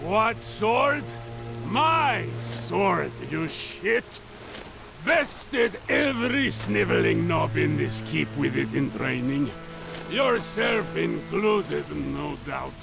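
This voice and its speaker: An actor playing a swaggering, menacing sellsword bully in a video game, taunting and theatrical. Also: male voice, high-pitched, wildly swooping pitch, extremely slow, gravelly, heavily acted. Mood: indignant, bullying. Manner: taunting, theatrical, foul-mouthed. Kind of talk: video game character acting. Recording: dull audio under loud music.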